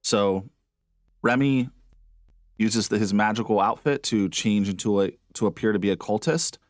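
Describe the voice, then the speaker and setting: A man acting as game master, narrating a tabletop game casually with halting phrasing and asides. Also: on the low side, no room sound, studio quality.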